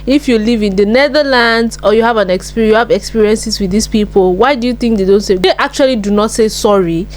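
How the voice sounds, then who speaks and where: A woman's voice: on the high side, fairly quick, hardly any pauses. Chatty woman hosting a reaction video. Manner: upbeat, chatty, repeats herself. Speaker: YouTube reaction host. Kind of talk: casual chat to viewers.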